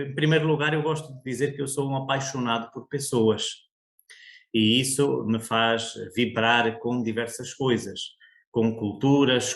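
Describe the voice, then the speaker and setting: A middle-aged man from Portugal speaks with a European Portuguese accent, at a mid pitch. He talks in measured, reflective phrases as a podcast guest. His voice carries a roomy echo. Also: fairly bright tone. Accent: European Portuguese, Coimbra. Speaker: guest, school coordinator. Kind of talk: conversational podcast interview.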